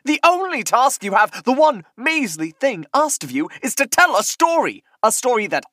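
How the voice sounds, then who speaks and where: A woman's voice: a little high, very animated, normal speed, a bit bright, no room sound. A woman playing a vain, easily outraged rival storyteller in a comedic audio drama, theatrical and wordy. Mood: indignant.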